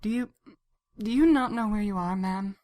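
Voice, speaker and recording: A woman's voice: medium pitch, normal speed, mellow, close to an everyday voice. A woman playing a kindly pony receptionist in an audio drama, polite, helpful and apologetic. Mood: puzzled, apologetic.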